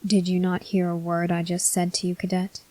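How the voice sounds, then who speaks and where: A woman voicing a brooding, weary male vampire soldier in an ASMR roleplay, in a breathy, husky whisper with slow, grave delivery. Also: fairly low pitch, completely flat delivery, darkish tone, natural voice. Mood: stern, disbelieving.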